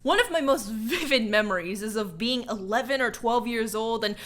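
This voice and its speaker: A woman's voice: on the high side, moderate pace, a bit bright. A young woman born in Korea and raised in Hawaii, narrating a scripted video essay with sarcastic, profane asides.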